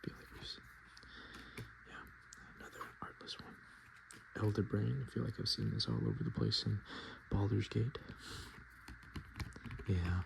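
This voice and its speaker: A man speaking softly and often whispering in a casual ASMR style, with hesitant, halting, murmured delivery. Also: lowish voice, very animated, creaky, rough recording. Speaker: ASMR video host. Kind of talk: soft-spoken and whispered ASMR, casual commentary. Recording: noticeable background noise.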